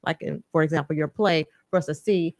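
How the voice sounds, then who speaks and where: A woman's voice: low voice, fairly even intonation, a little fast, no echo, studio quality. A woman co-hosting a radio conversation, speaking in a reflective, affirming way and repeating phrases. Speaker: radio co-host. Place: radio talk show.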